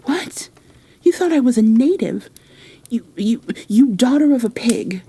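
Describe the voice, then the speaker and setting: A woman's voice: on the high side, lively intonation, measured pace, dark tone, a little hiss or hum, voice pushed up. A woman voicing an imperious, spoiled little rich girl who talks in a haughty, demanding way in a storybook reading. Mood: furious.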